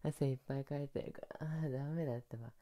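A man's voice: mid-range voice, steady tone, very fast, mellow, natural voice. A young man acting as an affectionate dog owner in an ASMR roleplay, cooing and playfully baby-talking to his pet. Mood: playful scolding. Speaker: ASMR voice performer. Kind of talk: Japanese ASMR situation voice roleplay.

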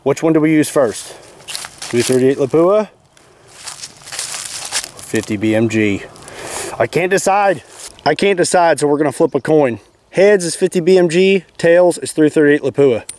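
A man's voice: fairly high pitch, measured pace, long pauses, a little mellow, slight background noise. A young man with a Kentucky accent, talking casually and plainly as a host while outdoors in an open field. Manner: plainspoken, easygoing, thinks aloud. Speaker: shooting channel host. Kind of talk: casual outdoor demo narration. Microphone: camera mic, sometimes at a distance.

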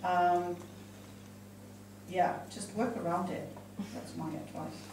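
A woman's voice: fairly low pitch, fairly even intonation, takes it slowly, a little mellow, far from the mic. Finnish-accented woman, middle-aged, informal panellist feeling her way through ideas, heavily reverberant room with faint noise. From Finland. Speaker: panel speaker, institution director. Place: indoor conference room. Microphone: handheld microphone.

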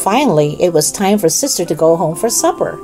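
A woman narrating a children's storybook as a read-aloud host, with expressive, deliberate delivery for young listeners. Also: fairly low pitch, soft background music.

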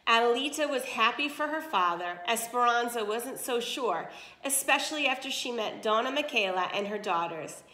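A woman's voice: on the high side, animated, moderate pace, bright, forceful tone, echoey room, low-quality recording. A woman reading a picture book aloud to children like a teacher, explaining as she goes and checking understanding. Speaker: read-aloud storyteller, teacher.

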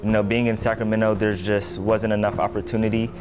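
A teenage basketball player talking in an interview, even and a little hesitant. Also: male voice, fairly low pitch, fast, over music. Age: teens. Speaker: high school basketball player. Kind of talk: casual interview.

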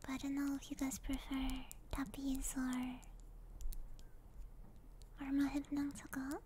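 A young woman whispering breathily and very close to the mic, chatting casually and playfully as an ASMR streamer, with some relaxed swearing. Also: high-pitched, takes it slowly, a little hiss or hum. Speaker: ASMR streamer hosting a live hangout. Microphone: binaural 3Dio ASMR microphone, very close.

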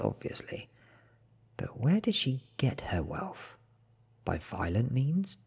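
A man in his thirties reading a book aloud in a soft, half-whispered ASMR style, with hushed, deliberate phrasing close to the mic. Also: deep voice, creaky, husky. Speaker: audiobook reader.

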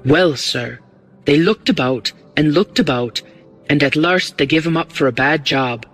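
A man's voice: a little high, a little slow, fairly bright tone, faint music behind. A man voicing a glib, eager porter in an audiobook, with a working-class London accent and a confiding, deferential manner. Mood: gossipy, excited.

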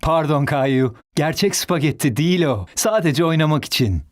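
A man's voice: a little high, lively intonation, moderate pace, natural voice. A man voicing a friendly, patient, playful father in a children's cartoon.

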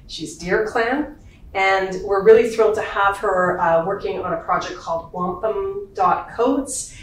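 A woman's voice: mid-range voice, steady tone, a little hiss or hum. Woman introducing a speaker in a roomy hall. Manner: warm, halting with many fillers. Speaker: host introducing a guest. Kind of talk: live lecture introduction, reading a bio. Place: university lecture hall. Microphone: far room recording from the back. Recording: echoey lecture hall from far off.

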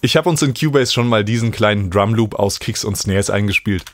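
A man, calmly explaining music software step by step as a tutorial host. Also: fairly low pitch, brisk. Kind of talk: screen-recorded software tutorial.

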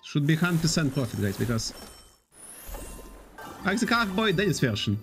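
A man live-streaming slot games from a desk, chatting to his viewers in loose, repetitive English. His fairly high voice swings up and down a lot. Quiet game music plays underneath. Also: very animated, normal speed. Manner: reads and answers chat, loose non-native English with repetitions. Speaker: casino slots streamer.

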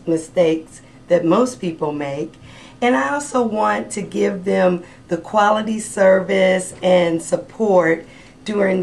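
A woman's voice: lowish voice, creaky, slight background noise. A woman in her forties who owns an insurance agency, answering interview questions steadily into a handheld mic. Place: office room.

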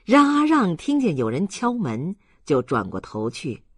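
Male novel narrator, expressive and wide-ranging, very dry close sound. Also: a little high, measured pace, husky, no echo. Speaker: audiobook narrator. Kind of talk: dramatic scripted novel narration.

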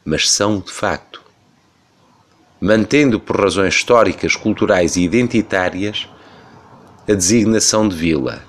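A man's voice: lowish voice, measured pace, long pauses. A man in his thirties with a European Portuguese accent, giving an emphatic, rhetorical opinion commentary on the radio.

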